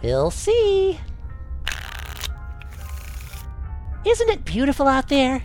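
Boy scout, very high voice, wildly swooping intonation, earnest, cheeky, exclamatory, retro sci-fi radio play, light music behind. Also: huge pitch swings, measured pace, a little mellow, heavily acted. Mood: wonder-struck. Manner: gee-whiz earnest, exclamatory. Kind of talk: retro radio adventure acting.

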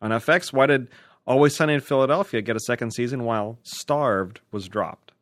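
A man's voice: mid-range voice, brisk, dry recording, clean studio sound. A man co-hosting a podcast, reading out listener questions and bantering casually with a joking manner.

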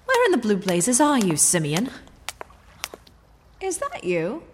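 An actress playing a feisty, exasperated girl Friday to a playboy hero, brisk and sharp-tongued in old-time radio serial style. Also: a little high, huge pitch swings, a little hiss or hum, full character voice. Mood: annoyed, suspicious. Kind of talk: 1940s radio serial acting.

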